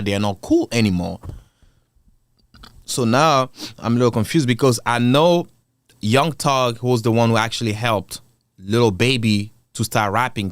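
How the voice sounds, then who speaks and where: A man's voice: medium pitch, clean tone, dry recording. A man with an African accent talks through a clip in a loose, rambling way, trailing off and circling back as he thinks aloud. His voice sits in a middle range and sounds dry and close to the mic, with no room around it. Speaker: commentary channel host. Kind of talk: casual reaction commentary.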